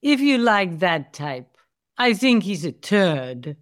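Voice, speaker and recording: A woman's voice: on the low side, sing-song, takes it slowly. A woman playing a disdainful, fussy female cat in an audio play, haughty and precise.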